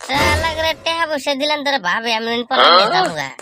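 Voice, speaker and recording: A young village prankster from a comedy cartoon, squeaky and pitched up. He grumbles in a rural Bengali accent, cheeky and full of exaggerated self-pity. Loud music plays under his voice. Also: expressive, vocal fry, full character voice. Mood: scheming, annoyed. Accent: rural regional Bengali. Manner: exaggerated, grumbling, slangy, with drawn-out wails. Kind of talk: comic cartoon monologue.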